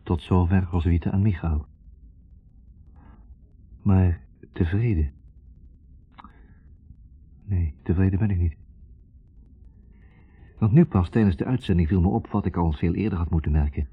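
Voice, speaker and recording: An actor playing a dissatisfied, self-questioning reporter, narrating with measured rhetorical questions in a 1960s radio drama. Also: male voice, deep voice, husky, faint music behind, full character voice. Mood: dissatisfied, reflective. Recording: muffled narrow-band 1960s radio recording.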